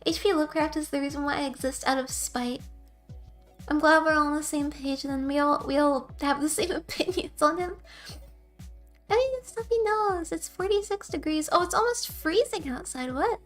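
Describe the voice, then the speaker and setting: High-voiced, giggly young woman streamer. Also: moderate pace, soft background music. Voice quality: soft, quiet voice. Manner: rambling and giggly. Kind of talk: casual chat with viewers.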